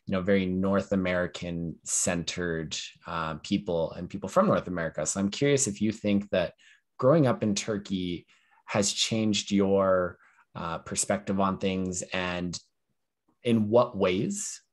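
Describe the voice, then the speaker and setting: A young man hosting a podcast over a video call, talking in a relaxed way full of "you know" and "um". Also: low-pitched, a little slow. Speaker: podcast host. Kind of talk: casual interview talk. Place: small room at home.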